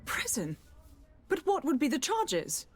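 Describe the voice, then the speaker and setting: Proud, high-voiced noblewoman mother. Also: huge pitch swings, a little slow, exaggerated voice. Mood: alarmed, pleading. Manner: formal, courteous, defensive. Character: proud, anxious middle-aged noblewoman mother, pleading. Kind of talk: video game dialogue acting.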